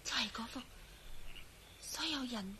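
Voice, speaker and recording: An actress playing a composed, brooding, resigned young woman in a Cantonese radio drama, with a Hong Kong accent and measured delivery. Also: on the high side, bright, forceful tone, slight background noise. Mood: brooding, hushed. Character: eerily composed, resigned young woman turned invisible skeleton.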